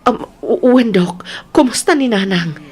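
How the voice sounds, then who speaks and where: A woman's voice: a little high, animated, normal speed, a little mellow, husky. A woman playing a worried, caring mother and elder sister who takes charge in an audio drama. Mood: anxious. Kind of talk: radio-style drama acting. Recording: music bed under the voice.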